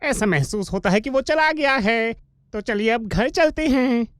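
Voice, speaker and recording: A woman's voice: fairly high pitch, lively intonation, measured pace. Timid, grumbling cartoon boy sidekick. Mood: anxious, warning. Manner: hesitant, anxious, sarcastic. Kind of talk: animated comedy dub acting.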